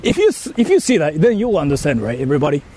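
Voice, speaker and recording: High-pitched Japanese man livestreaming outdoors. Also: slight background noise. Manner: animated, repetitive, halting phrasing. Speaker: live-streamer. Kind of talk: casual livestream chat to viewers. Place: outdoors in a city.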